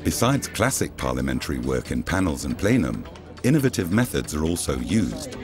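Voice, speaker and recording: Male documentary narrator, very low and expressive, formal and measured, with music underneath. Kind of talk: scripted promotional voice-over. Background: music bed.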